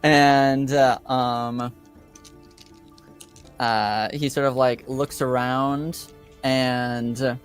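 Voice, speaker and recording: A man improvising narration as game master of a tabletop roleplaying game, halting and searching for words. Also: medium pitch, fairly even intonation, soft background music.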